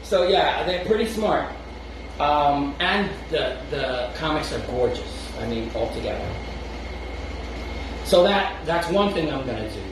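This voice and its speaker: A man's voice: a little high, animated, measured pace, far from the mic. Echoey Brooklyn man talking off-mic. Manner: rambling, restarts often, chuckles between thoughts. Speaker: podcast co-host. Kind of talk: casual chat. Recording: very echoey room, off-mic, noisy.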